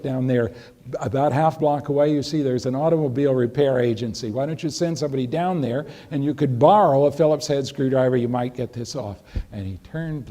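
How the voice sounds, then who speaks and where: A man's voice: medium pitch, warm tone. An older man, a lecturer, telling a long anecdote to an audience with restarts and a dry humor. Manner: rambling storyteller with frequent restarts and dry humor. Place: lecture hall.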